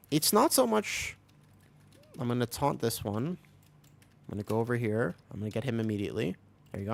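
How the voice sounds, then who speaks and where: A man streaming a video game live, chatting with viewers and explaining tactics while he plays, his voice still raspy from being sick. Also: medium pitch, a bit bright. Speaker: streamer playing a tank. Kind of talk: live gameplay commentary.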